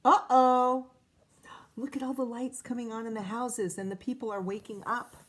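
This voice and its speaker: A woman teacher reading a picture book aloud to children, pausing to ask questions and count along. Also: on the high side, fairly even intonation. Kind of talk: children's read-aloud with commentary.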